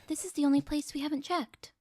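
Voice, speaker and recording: A woman's voice: sits high, steady tone, fast talker, mellow, no echo, lightly acted. A woman playing an earnest young girl in a fantasy game fan dub. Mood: anxious searching.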